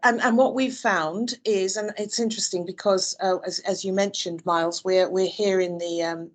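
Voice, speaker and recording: A woman's voice: mid-range voice, steady tone, normal speed. A woman from the UK answering interview questions on a video call, thoughtful, with many fillers and restarts. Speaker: interview guest. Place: Dubai.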